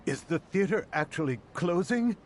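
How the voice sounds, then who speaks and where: A man's voice: sits high, animated, normal speed, a little hiss or hum. An actor playing a brash, loyal townsman in a video game, casual and blunt. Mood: alarmed.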